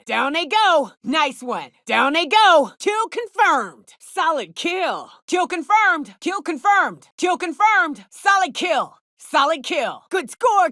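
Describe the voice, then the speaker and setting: A woman's voice: sits high, bright, forceful tone, no room sound, studio quality, exaggerated voice. A woman voicing a gutsy, hard-drinking hunter and loyal crewmate in a video game, with brash, folksy, slangy delivery. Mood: triumphant. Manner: brash, folksy, rough-edged slangy talk. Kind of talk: video game voice acting, combat barks.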